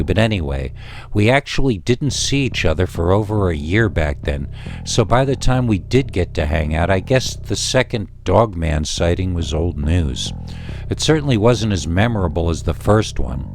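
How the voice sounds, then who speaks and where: A man's voice: deep voice, animated, moderate pace, darkish tone, slight background noise. A man with an American accent narrating a scripted scary story as a podcast host.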